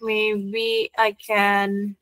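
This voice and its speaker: A young woman with an Indonesian accent tutoring an online language lesson over a video call, gentle and a little hesitant. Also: mid-range voice, monotone, very slow, dry recording. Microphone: laptop video call.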